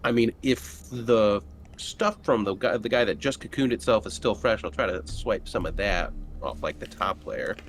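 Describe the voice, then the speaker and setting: A man's voice: on the low side, lively intonation, moderate pace. A man playing a tabletop roleplaying game, chatting casually as he speaks for his character. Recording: noticeable background noise.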